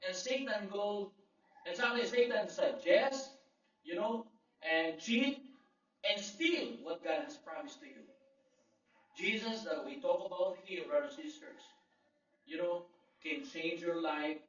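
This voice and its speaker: A man's voice: sits high, lively intonation, a little slow, long pauses, a bit bright. A male pastor from the Philippines, a native Filipino speaker, preaching a sermon with call-and-response amens and emphatic Bible quotes. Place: large echoey church hall. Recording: echoey, distant, dull lo-fi sound.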